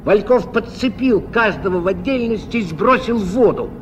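A man giving measured, expressive literary narration in the style of 1970s Soviet radio drama. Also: high voice. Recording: dull, faintly noisy old radio recording.

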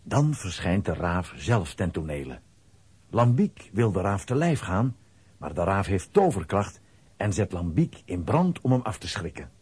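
A man with a husky voice narrating a scripted children's audio play as storyteller. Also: sits low, sing-song, measured pace, a little mellow, no echo.

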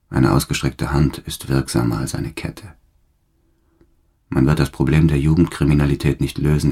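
An actor playing a hardened, embittered career criminal who makes grave, defiant declarations in a radio drama monologue. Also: male voice, very deep voice, dark tone, exaggerated voice. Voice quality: gravelly, husky.

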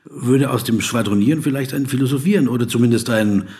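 An actor in a radio play voicing a polite, persistent male interviewer who probes, flatters and gives deliberate literary asides. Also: mid-range voice, natural voice. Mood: probing, pleading. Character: persistent, courteous male interviewer, flattering, observant, narrating into dictaphone.

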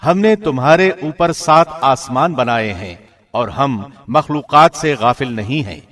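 A man reading a scripture translation in a formal, measured and solemn radio presenter's style. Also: medium pitch, lively intonation, fairly bright tone. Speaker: translation reader, radio host.

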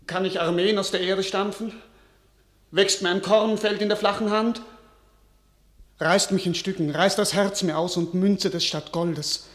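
A beleaguered king in a classic radio play, swinging between weary resignation and impassioned outcry. His voice sits a little high and he declaims in measured verse. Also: fairly even intonation, a bit bright. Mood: desperate. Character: beleaguered young king, weak and desperate. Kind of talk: 1950s-style German radio drama acting.

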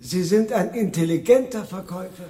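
A high male voice, a little away from the microphone, an actor plays a middle-aged Japanese businessman. He is exacting and formally polite, with clipped courtesy, in a radio drama. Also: fairly quick, warm tone, far from the mic, natural voice. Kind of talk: German radio drama acting.

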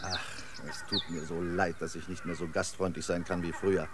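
An actor playing a chatty, eccentric local guide in a decayed city, rambling and effusively hospitable in a radio drama. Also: male voice, low voice, level tone, brisk, noisy background, close to an everyday voice. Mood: wistful, hospitable. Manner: effusive, rambling, quirky hospitality, chuckles to himself. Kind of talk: German radio drama acting.

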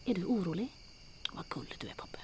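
A woman's voice: on the low side, very animated, very noisy. Woman playing a bossy, fearless adventuress. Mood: bossy, teasing. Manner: commanding, brisk, teasing. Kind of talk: comic audio drama acting.

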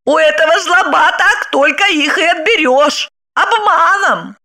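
A shrieking, brassy wife. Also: female voice, very high-pitched, animated, unhurried, pushed and bright, voice pushed up, heavily acted. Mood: scornful. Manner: shrieking, mocking, cackling. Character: shrill scornful middle-aged wife, brassy. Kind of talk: audiobook character reading.

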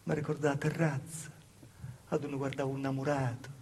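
A man performing a theatrical dialect monologue as a Calabrian peasant woman recalling her hard past, in a halting, plaintive storytelling manner with a Calabrian accent. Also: medium pitch, level tone, a little mellow, a little hiss or hum, lightly acted. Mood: musing, subdued.

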